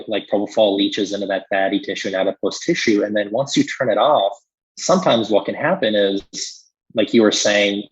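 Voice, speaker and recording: American guy explaining medicine casually on a podcast, deep-ish and rough voice, kinda muffled. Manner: informal, explanatory.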